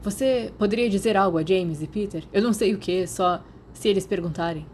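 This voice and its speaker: A woman's voice: mid-range voice, brisk, a little mellow, slight background noise, lightly acted. Woman as an anxious, guarded Brazilian teenage boy. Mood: urgent, agitated. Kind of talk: audiobook character dialogue.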